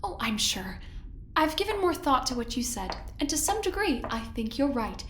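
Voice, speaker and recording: An actress playing a smooth, patronizing woman who directs a research project on a spaceship in an audio drama, with mock-sweet control. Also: high voice, big pitch swings, normal speed, a bit bright, a little hiss or hum. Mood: condescending, controlling. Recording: roomy, echoey sound, music underneath. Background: music.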